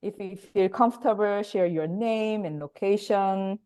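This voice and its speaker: A woman's voice: medium pitch, a little slow, warm tone, clear, resonant tone. Korean-speaking woman hosting a webinar. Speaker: workshop host and facilitator. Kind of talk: webinar introduction over video call. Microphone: video call.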